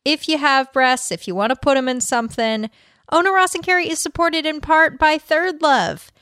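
A female podcast host delivers a playful sponsor read in direct address. Her voice is fairly high and tongue-in-cheek, recorded close and dry. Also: moderate pace, a bit bright, dry recording.

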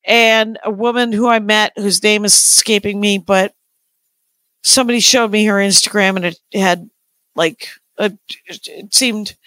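A woman comedian chatting casually on a podcast, her voice scratchy and tired, with a blunt, deadpan way of talking. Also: mid-range voice, unhurried, no room sound. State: hoarse, tired. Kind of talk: casual chat.

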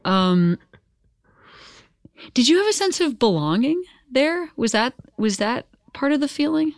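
A woman hosting a podcast, speaking informally and haltingly as she thinks aloud in a casual interview. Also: high-pitched, big pitch swings, dry recording, studio quality. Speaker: podcast host.

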